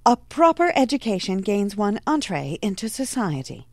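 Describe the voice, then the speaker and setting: A woman playing a strict, snobbish mother, prim and commanding, with a shrill edge, in a period audio drama. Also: very animated, moderate pace, darkish tone, no room sound. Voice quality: shrill when scolding. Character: strict, snobbish society mother, scolding and domineering.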